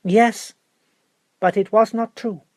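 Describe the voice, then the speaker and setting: Composed noblewoman on the witness stand, played by a male reader: higher pitch, terse, unyielding, audiobook delivery. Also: fairly even intonation, slow pace, dry recording, studio quality. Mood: firm. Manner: plain, terse, unyielding answers. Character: composed, firm aristocratic lady witness in court. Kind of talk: audiobook character voice.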